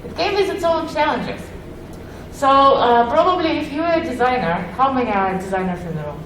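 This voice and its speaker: A woman's voice: high-pitched, animated, moderate pace, a bit bright, slight background noise. A woman from Ukraine with a Ukrainian accent and a slightly muffled voice, giving a conference talk in a friendly way with many "uh" fillers. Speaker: conference speaker. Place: conference session room. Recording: echoey hall, heard from a distance.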